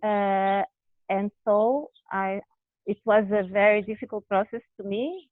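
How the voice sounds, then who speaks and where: Woman guest, halting, restarts, dry tinny call audio. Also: mid-range voice, fairly even intonation, no echo. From Brazil. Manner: halting, searching for words, frequent restarts. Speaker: interview guest. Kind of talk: candid personal conversation.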